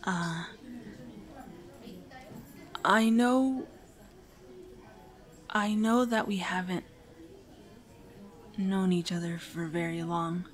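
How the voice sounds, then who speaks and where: A woman's voice: on the low side, steady tone, extremely slow, long pauses. A woman playing a shy, nervous teenage hero-in-training in a romantic audio roleplay, speaking in a hesitant, apologetic, rambling way. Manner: hesitant, stammering, apologetic, prone to anxious rambling.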